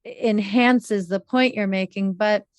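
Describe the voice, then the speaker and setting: Slow, measured woman host. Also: mid-range voice, fairly even intonation, dry recording. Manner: measured, drawn-out phrasing. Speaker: podcast host, relationship coach. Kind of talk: slow, thoughtful interview questions.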